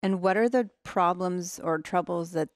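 Woman host, lower voice, dry, friendly interviewer. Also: normal speed, dry recording. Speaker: podcast host. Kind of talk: interview hosting. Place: sunny room with large windows. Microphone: headset mic.

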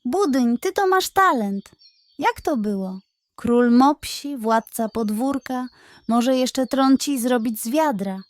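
A woman's voice: a little high, animated, slow, no echo. A woman voicing an ironic, mocking male farmyard cat in a children's story, with a sarcastic, teasing delivery.

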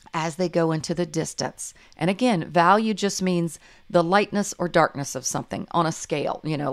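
An older woman with a hoarse, raspy voice, gently talking viewers through a painting tutorial with many fillers. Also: lowish voice. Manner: gentle, encouraging, meandering with fillers. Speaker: art instructor.

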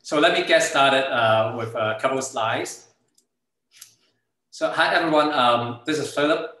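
Man, Hong Kong accent, native Cantonese speaker, startup founder presenting, bright forceful tone, very roomy video-call sound. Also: mid-range voice, a little slow. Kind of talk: informal slide presentation with product demo. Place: indoor room, on a video call. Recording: very echoey room over video call.

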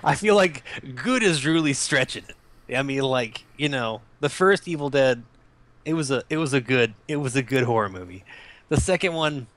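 A man's voice: mid-range voice, expressive, moderate pace, a bit bright, no room sound. Rambling crude-joking podcast man. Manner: rambling, crude jokes, many restarts. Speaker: podcast co-host. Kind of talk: casual crude banter.

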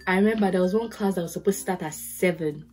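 A woman's voice: fairly low pitch, quick pace. A young woman from Nigeria with a Nigerian accent, giving casual advice to the camera and acting out little stories as she goes. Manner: lively storytelling, acts out quotes. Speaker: podcast host, lawyer. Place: bedroom with curtains, indoors.

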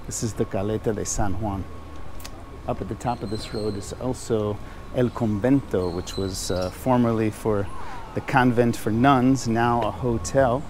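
A man's voice: on the low side, steady tone, a little slow. A man giving a casual walking tour narration as a guide. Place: outdoors on old city streets. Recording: outdoor street noise behind voice.

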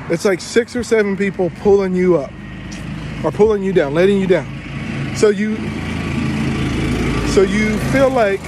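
A young man telling a travel story to his phone camera outdoors, animated and playing it up for effect, with plenty of fillers. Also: high voice, slight background noise. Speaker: travel vlogger. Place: outdoors among trees.

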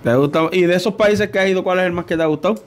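A man's voice: sits high, very fast, a little hiss or hum. A Puerto Rican man in his thirties hosting a casual studio interview, joking and laughing often, full of slang. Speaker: podcast host. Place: small studio with brick-look wall. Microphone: desk boom mic.

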